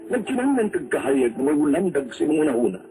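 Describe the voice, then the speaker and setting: Guy doing dramatic radio announcer narration, really swooping pitch, music underneath. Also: big pitch swings, fast.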